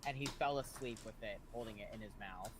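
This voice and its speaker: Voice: mid-range voice, fairly quick. A tabletop game player chatting casually with friends. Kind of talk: casual chat. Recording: faint noise behind.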